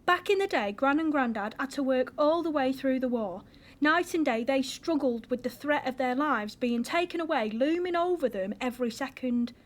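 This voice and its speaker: A high, earnest young British woman's voice played by an actress in an audio drama. She is a frustrated, hurt girlfriend who speaks emotionally and pleadingly. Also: expressive, moderate pace. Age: young adult.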